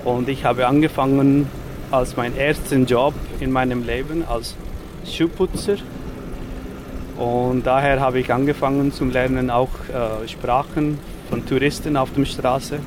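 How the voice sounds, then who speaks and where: A man in his forties from India, speaking halting with many fillers in a casual interview, outdoors on a city street. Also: mid-range voice, fairly even intonation, background noise. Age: 40s. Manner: halting non-native German with frequent fillers. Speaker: interviewee, rickshaw driver.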